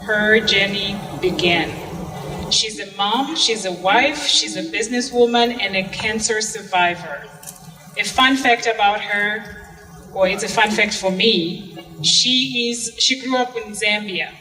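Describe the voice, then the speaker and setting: A woman's voice: medium pitch, measured pace, pushed and bright, soft background music. A woman hosting a live event, introducing the next storyteller in a chatty way. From Zimbabwe. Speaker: event host. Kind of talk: stage introduction. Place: art museum event stage with audience. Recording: distant mic in a reverberant hall.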